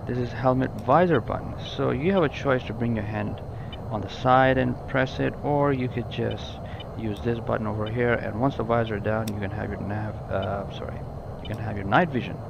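A man with a mid-pitched voice talks through a flight simulator cockpit as a tutorial presenter. His delivery is informal and explanatory, often repeating himself to clarify. The sound is dull and lo-fi, with clear noise behind him. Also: normal speed, hardly any pauses.

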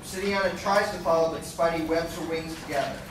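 A man's voice: on the high side, fairly even intonation, fast. A man reading scripted stage directions as narrator of a live radio play. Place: large hotel conference room with seated audience. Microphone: distant audience camera. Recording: distant echoey room, loud crowd and noise.

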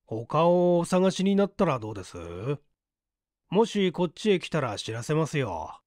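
A man voicing a composed, quick-witted young son in an audiobook story, chatty and breezy. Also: a little high, big pitch swings, a little mellow, no echo, studio quality. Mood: casual bluffing.

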